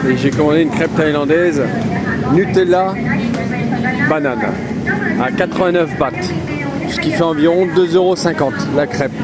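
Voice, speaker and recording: A man hosting a casual vlog interview, upbeat and chatty, talking straight to his viewers. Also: fairly high pitch. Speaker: YouTube host and interviewer. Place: outdoors at a Bangkok night market. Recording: loud street and traffic noise.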